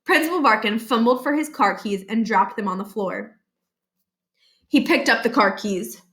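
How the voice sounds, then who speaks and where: Woman, storybook reader, somewhat high voice, expressive read-aloud narration, children's novel. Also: normal speed.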